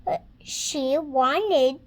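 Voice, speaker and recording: A woman's voice: high voice, extremely slow. A six-year-old girl reading a children's storybook aloud, hesitant and sounding out words as she practices. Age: child, six years old.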